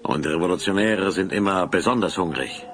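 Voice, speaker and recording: An actor playing a self-righteous rebel highwayman in a German audio drama, declamatory and menacing. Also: a little fast, a bit bright. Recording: noisy old cassette audio drama.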